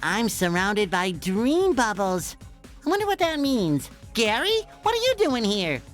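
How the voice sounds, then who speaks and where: Childlike cartoon sponge, squeaky, nasal, animated swoops, eager and earnest, music underneath. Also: male voice, a little slow, full character voice. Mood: curious. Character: cheerful, naive, eager young male cartoon sea sponge. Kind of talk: animated game cutscene acting.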